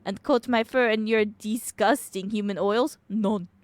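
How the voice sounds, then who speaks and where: A woman's voice: mid-range voice, steady tone, measured pace, no echo, lightly acted. A woman voicing a haughty, world-weary female French cat, pompous and scornful, in a video game. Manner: pompous, philosophical, scornful, peppering French phrases.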